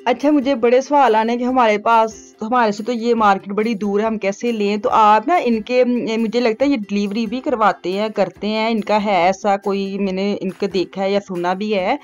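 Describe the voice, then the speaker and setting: A woman's voice: mid-range voice, fairly even intonation, moderate pace, few pauses, faint music behind. A middle-aged woman, rambling homely voice-over for her shopping vlog. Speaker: vlogger. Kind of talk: chatty voice-over narration. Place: home, recorded as voice-over.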